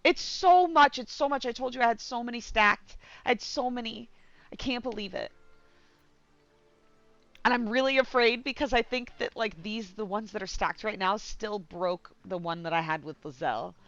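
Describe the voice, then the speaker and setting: A woman's voice: on the high side, moderate pace, a bit bright, no echo, lo-fi sound. A woman streaming a game, giving casual, informal and rambling commentary on a close mic.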